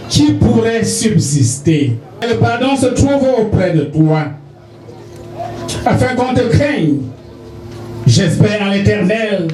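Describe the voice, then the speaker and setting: A man serving as pastor, leading a funeral service and reciting scripture in a slow, solemn manner at an outdoor gathering. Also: high voice, mellow, echoey room, poor audio. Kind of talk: funeral sermon and scripture reading.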